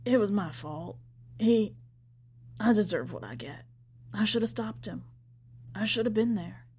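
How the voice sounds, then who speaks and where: A woman's voice: mid-range voice, lively intonation, unhurried, darkish tone, breathy edge, heavily acted. A woman voicing a pious, folksy bearded male preacher in a prison story, evasive and sanctimonious. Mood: despairing.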